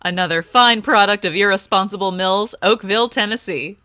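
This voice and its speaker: A woman's voice: mid-range voice, brisk, over loud music, lightly acted. A woman playing a polished, upbeat 1950s radio announcer with a salesy delivery. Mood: polished, formal.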